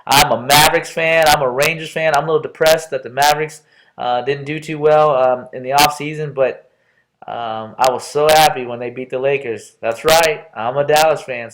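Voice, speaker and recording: A man's voice: mid-range voice, fairly bright tone, low-quality recording. A middle-aged man from Texas with an American accent gives a playful, chatty, informal self-introduction as a college professor, often stopping and restarting. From Texas, United States. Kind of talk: informal self-introduction lecture for an online class.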